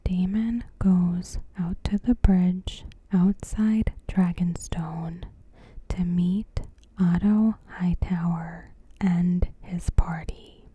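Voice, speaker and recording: A woman narrates in a hushed ASMR whisper, extremely slow and careful. Her voice is very soft and mellow, full of breath, as she reads a scripted recap without any hurry. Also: lowish voice, fairly even intonation, slightly rough. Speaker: ASMR creator.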